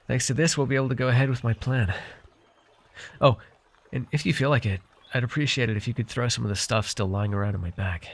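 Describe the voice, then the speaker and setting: A man's voice: mid-range voice, lightly acted. A man playing a chatty, wry and friendly fae potion brewer in a fantasy audio roleplay, talking to the listener with hesitant asides. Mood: tired, friendly.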